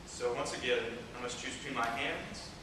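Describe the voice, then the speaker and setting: A man's voice: mid-range voice, expressive, normal speed, sharp tone. A man playing an earnest, awkward, lovestruck surgeon with melodramatic delivery in an amateur comedy stage play. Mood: agonized. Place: indoor performance room, mic far off. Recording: echoey hall, distant mic, clear noise.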